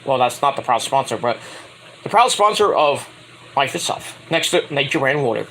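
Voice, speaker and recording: A man's voice: medium pitch, sing-song, normal speed, bright, forceful tone, a little hiss or hum, poor audio. A man casually reviewing a wrestling show, rambling and correcting himself, with sarcastic asides while he fights off a cold. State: getting a cold, voice going. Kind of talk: casual solo review recap.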